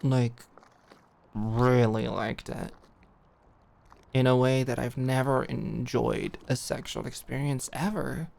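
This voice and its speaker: A young woman playing a smitten, protective girl at camp in an intimate ASMR roleplay, speaking earnestly and haltingly. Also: a bass voice, gravelly, darkish tone, exaggerated voice. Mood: smitten, tender. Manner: halting, earnest, giggly, stammering. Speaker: audio roleplay performer.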